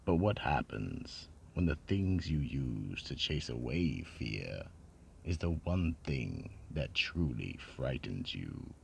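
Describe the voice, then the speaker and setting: Extremely deep, gravelly male storyteller. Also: very animated, slight background noise. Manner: theatrical, dramatic pauses. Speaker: narrator and host of a mystery radio drama. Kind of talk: scripted eerie storytelling narration.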